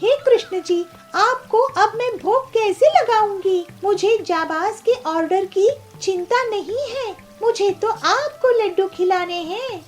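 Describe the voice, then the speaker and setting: A woman's voice: extremely high pitch, slow pace, hardly any pauses, soft background music. A woman voicing a kind, devout female bird in a children's cartoon, speaking earnestly. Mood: distressed, pleading. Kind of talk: Hindi children's cartoon dubbing.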